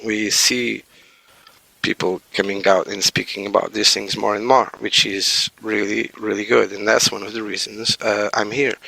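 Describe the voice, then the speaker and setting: Hesitant man, gravelly. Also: on the low side, bright, forceful tone, no echo, rough recording. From Portugal. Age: 40s. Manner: hesitant, with many fillers and restarts. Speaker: podcast guest. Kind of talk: casual interview talk.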